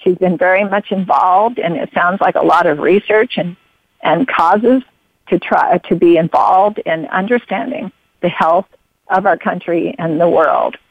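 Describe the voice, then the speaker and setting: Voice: medium pitch, a little slow, no room sound. A radio show host interviewing a guest, talking in long rambling runs full of fillers and restarts and drifting into personal stories. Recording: phone-like narrow sound.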